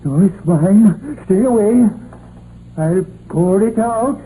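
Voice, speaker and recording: Desperate miner, stammering and agitated, high voice with wide swings, old radio crime drama. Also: male voice, expressive, slow pace, mellow. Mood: cornered, defiant. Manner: agitated, stammering, repeats himself. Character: desperate, frightened Welsh miner turned guilty poisoner. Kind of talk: 1950s radio drama acting. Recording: muffled, noisy old radio broadcast.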